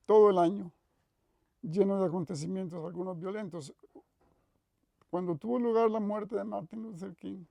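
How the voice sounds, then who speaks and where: Older Costa Rican man, gravelly and mellow. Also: high-pitched, dry recording. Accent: Costa Rican Spanish. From Costa Rica. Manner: thoughtful, measured, with frequent restarts and self-corrections. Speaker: retired professor interviewed. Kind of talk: reflective interview reminiscence.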